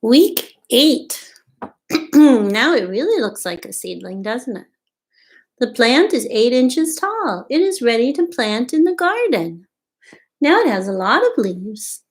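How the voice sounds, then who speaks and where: A woman's voice: on the high side, very animated, takes it slowly. A woman in her thirties reading aloud and explaining things simply and expressively for young children, as a storytime host. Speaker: storytime host at a community farm. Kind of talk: children's read-aloud and show-and-tell. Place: small room at home, indoors.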